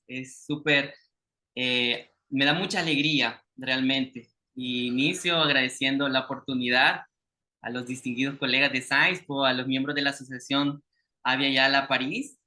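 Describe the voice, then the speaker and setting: A man's voice: mid-range voice, steady tone, measured pace, sharp tone. A man from El Salvador with a Salvadoran Spanish accent, giving a formal, earnest prepared presentation as an invited speaker over a video call.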